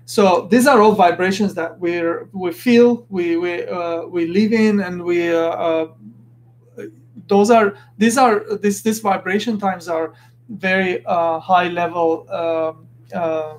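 A man's voice: sits high, fairly even intonation, slow pace. A man from Lebanon hosting a podcast, talking earnestly in a casual motivational monologue and often restarting as he searches for words. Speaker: podcast host.

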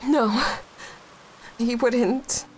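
A woman's voice: a little high, steady tone, darkish tone, slight background noise. A woman playing a grief-torn young widow. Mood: weary. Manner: halting, raw with grief. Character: grieving young widowed mother, guilt-ridden. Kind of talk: dramatic dialogue.

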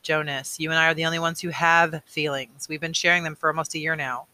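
A man voicing a grave, weary male elder mentor in a storybook, measured and earnest. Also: fairly high pitch, level tone, sharp tone, poor audio. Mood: earnest, reflective.

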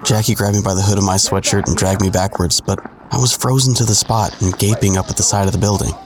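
Man acting a low-voiced, sardonic storyteller. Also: steady tone, quick pace, over a noisy crowd, lightly acted. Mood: tense, ominous. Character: wry, sardonic man narrating his own teenage misadventure. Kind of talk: first-person scripted narration, audio drama.

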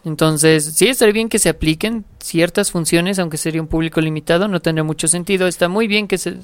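A co-host, talking in a measured, informative way in a casual chat. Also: mid-range voice, clear, resonant tone, dry recording.

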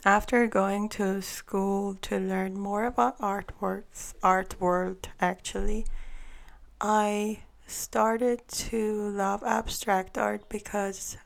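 A woman's voice: mid-range voice, little pitch movement, drawn-out words, creaky. A woman in her thirties from Iran, answering interview questions with careful, thoughtful phrasing. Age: 30s. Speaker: guest artist.

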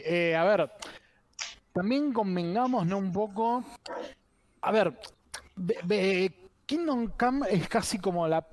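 A man's voice: high-pitched, darkish tone. A man giving casual critical commentary as a podcast panelist, opinionated and prone to digressions.

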